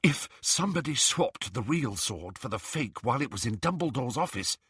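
A man's voice: sits high, very animated, unhurried, pushed and bright, husky, no echo, voice pushed up, exaggerated voice. A man voicing an earnest, anxious teenage girl, polite yet insistent, in a storybook reading. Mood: urgent. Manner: urgent, careful, insistent.

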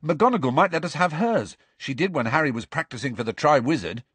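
A man's voice: on the high side, lively intonation, brisk, fairly bright tone, husky, no room sound, voice pushed up. A man voicing an eager teenage boy who talks casually.

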